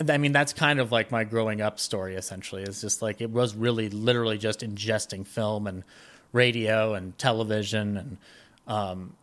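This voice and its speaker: A man speaking as a podcast guest, reminiscing casually in a rambling, halting way that often circles back mid-sentence. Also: fairly low pitch, fairly bright tone. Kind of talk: casual conversational interview, reminiscing.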